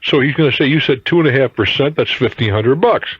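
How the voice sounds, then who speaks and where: A man's voice: mid-range voice, quick pace, slightly rough. A man hosting a radio call-in advice show, talking off the cuff in a blunt, argumentative way and readily cutting in. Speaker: radio call-in host giving money advice. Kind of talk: phone-in advice, unscripted conversation. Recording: roomy, dull lo-fi radio tape.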